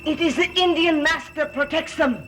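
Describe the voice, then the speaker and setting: An actress playing an anxious, defensive servant of a dark master, earnest and protesting, in a gothic TV soap. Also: female voice, high voice, fairly even intonation, normal speed. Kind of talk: gothic TV soap opera acting. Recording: old TV soundtrack with music behind.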